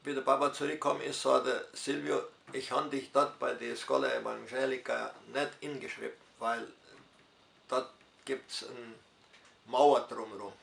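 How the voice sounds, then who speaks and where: A gravelly older man with a Hunsrückisch dialect accent recounts his life at an easy, storytelling pace. He speaks at a middle pitch, and the echoey room around him is clearly audible. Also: fairly even intonation, fairly bright tone. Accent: Hunsrückisch German of southern Brazil. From southern Brazil. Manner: unhurried storytelling with dialect forms and hesitations. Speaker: retired pastor interviewee. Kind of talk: personal life story in interview.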